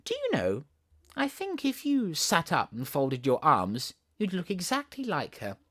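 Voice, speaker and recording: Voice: medium pitch, huge pitch swings, measured pace, a bit bright, exaggerated voice. A storybook narrator with a Southern English accent voicing a chatty, imaginative, bossy little girl who is playful and full of wonder. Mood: coaxing.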